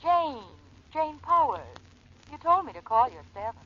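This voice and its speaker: A woman's voice: high-pitched, big pitch swings, moderate pace. Chatty woman in muffled old radio. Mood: puzzled, impatient. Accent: American. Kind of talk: 1940s radio detective acting. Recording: dull 1940s radio broadcast recording.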